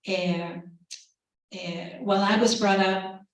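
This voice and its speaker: A woman's voice: medium pitch, fairly even intonation. Distant, echoey woman giving a keynote. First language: English. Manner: measured, reflective, soft laughs between points. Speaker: keynote speaker, professor. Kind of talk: academic keynote and Q&A answer. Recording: very echoey hall, distant mic.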